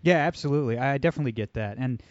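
A man hosting a podcast, chatty and energetic, with lots of fillers. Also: mid-range voice, racing, dry recording, lo-fi sound. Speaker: podcast host. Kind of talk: casual interview talk.

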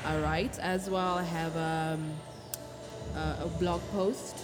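A woman's voice: low-pitched, steady tone, takes it slowly. A nineteen-year-old woman from the Congo, a poet, talking openly and earnestly in a casual interview and laughing often. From Democratic Republic of the Congo. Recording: music playing behind.

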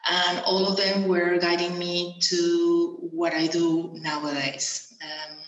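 A woman from Venezuela answering interview questions as a coach and mentor, talking with many pauses, ums and restarts. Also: on the low side, level tone, roomy sound, highs cut off.

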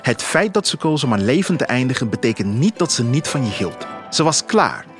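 Man acting a male therapist, insistent, persuasive, emphatic, lifted pitch, lively intonation, radio play over music. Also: fairly quick, close to an everyday voice. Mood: earnest, persuasive.

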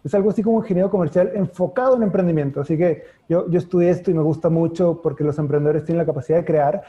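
A man's voice: a little high, very fast, warm tone, muffled recording. A man in his thirties with a northern Mexican accent, a guest in an interview, explaining things at length with frequent restarts. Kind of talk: conversational interview.

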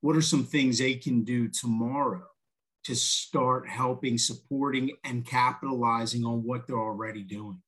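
A man's voice: medium pitch, steady tone, moderate pace, a bit bright, breathy edge. A man co-hosting a call for practitioners, pressing the guest for practical, actionable steps. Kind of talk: interview questions.

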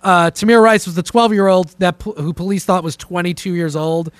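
A man's voice: sits high, brisk, no echo. A man hosting a podcast in a casual, profane, joking style, steering the conversation. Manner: informal, joking, takes charge of the talk. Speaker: podcast host. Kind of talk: casual profane talk show chat. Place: indoors, remote conference call set up with camera.

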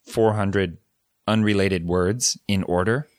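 A man with a very low voice talks through ideas in a relaxed, thoughtful way, often circling back to rephrase himself. The sound is close and dry, with no room around it. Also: takes it slowly, no room sound. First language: English. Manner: thoughtful, explanatory, with frequent restarts. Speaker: podcast co-host. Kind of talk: casual educational chat.

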